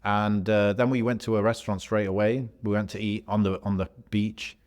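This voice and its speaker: A man from Algeria, a podcast co-host, telling a travel story in a relaxed, rambling way with slang and fillers. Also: fairly low pitch, brisk. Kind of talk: casual podcast chat.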